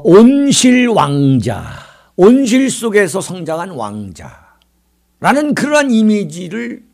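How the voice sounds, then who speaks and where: A man in his sixties hosts a political commentary monologue. His voice sits high and swings widely in pitch. He speaks like an orator, leaning on dramatic emphasis and posing rhetorical questions. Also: a little mellow. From South Korea. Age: 60s. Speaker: political commentary show host.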